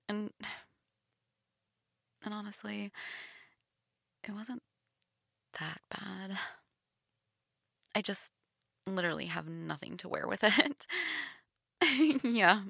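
A woman's voice: medium pitch, lively intonation, slow pace, vocal fry, pauses often, a bit bright, no echo, clean studio sound, exaggerated voice. A young woman playing a shy, teasing goth stepsister in an intimate audio role-play, casual, hesitant and giggly. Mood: giggly, flustered.

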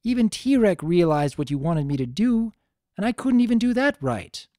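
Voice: mid-range voice, very animated, moderate pace, warm tone, no echo, clean studio sound, voice pushed up, close to an everyday voice. A narrator voicing an earnest, self-doubting young female pony princess with a pleading manner. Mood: distressed, guilty.

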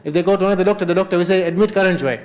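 A middle-aged man with a Kenyan Memon accent and a fairly high voice, chatting loosely as he moderates a health panel. He holds a handheld mic in a large hotel conference hall and switches between English and Kutchi phrases. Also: racing. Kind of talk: unscripted panel discussion.

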